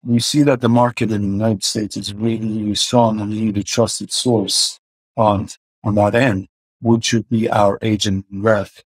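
Man with mixed iranian-swedish accent, husky, flat low voice, hesitant video call guest. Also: normal speed, no echo, rough recording. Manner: hesitant, many fillers and restarts. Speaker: car filmmaker guest. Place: home room indoors. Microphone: video call webcam.

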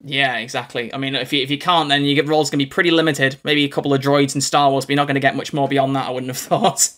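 A man's voice: mid-range voice, level tone, quick pace, a bit bright. A young man hosting a podcast interview from home, chatty, effusive and rambling as he talks to a guest. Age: young adult. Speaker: podcast host. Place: small home room, video call.

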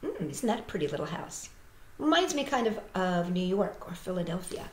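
A woman's voice: fairly low pitch, fairly quick, background noise. A middle-aged woman, a children's entertainer, talking directly and expressively to young children in a live storytime, recorded on a webcam in a living room. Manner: animated, exclaiming, addressing children directly. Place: small living room with couch, indoors. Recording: roomy webcam sound.

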